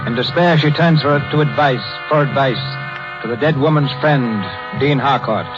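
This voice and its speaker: A man's voice: medium pitch, moderate pace, over music, close to an everyday voice. A man narrating a scripted 1930s radio drama as its host. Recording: dull 1930s radio broadcast recording.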